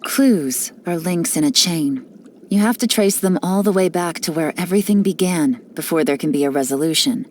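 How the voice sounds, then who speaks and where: An actress playing a cool, cunning female spy and information broker, speaking with confident, sly, measured delivery in game voice lines. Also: on the low side, darkish tone, slight background noise, lightly acted. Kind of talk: scripted character voice lines.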